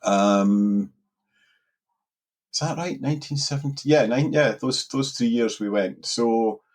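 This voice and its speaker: A middle-aged man from Scotland with an Edinburgh accent, a guest in a relaxed podcast interview who rambles and repeats words as he searches for them. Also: medium pitch, slow pace. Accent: Edinburgh Scottish. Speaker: guest angler.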